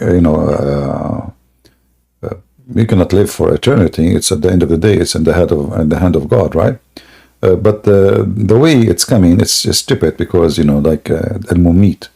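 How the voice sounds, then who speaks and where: Gravelly hoarse man mocking, laughing. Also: sits low, animated, normal speed, mellow. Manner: scoffing, laughs often. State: sore throat, clears throat often. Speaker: livestream debate host. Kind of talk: mocking live debate chat.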